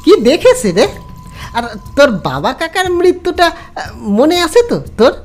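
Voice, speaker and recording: A man's voice: extremely high pitch, very animated, a little slow, soft background music, voice pushed up, heavily acted. A man voicing a nervous, curious village man in a horror audio story, stammering as he asks questions. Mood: frightened curiosity.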